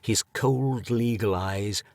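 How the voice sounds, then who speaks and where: Low slow male narrator, dry close studio sound, measured storytelling. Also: no echo. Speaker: audiobook narrator. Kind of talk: scripted narration.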